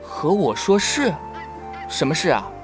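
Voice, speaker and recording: Man acting a slow-spoken, sensitive young fox spirit. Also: fairly high pitch, big pitch swings, over music. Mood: puzzled. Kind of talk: ancient-China audio drama acting.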